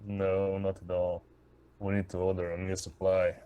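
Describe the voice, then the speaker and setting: A man plays a tabletop game in a low, monotone voice. He is laconic and casual, keeping his remarks short and low-key. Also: normal speed, smooth. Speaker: tabletop roleplaying player.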